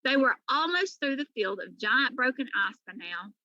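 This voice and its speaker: A woman reading a children's story aloud in an animated, emphatic way, like a teacher to pupils. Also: sits high, normal speed, no room sound, muffled recording.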